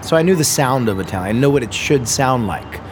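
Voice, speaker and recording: Brief: man in his thirties, musician guest, rambly animated storyteller, mid-pitched, background noise under the voice. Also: brisk. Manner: rambling, animated storyteller who laughs often. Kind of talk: casual conversation.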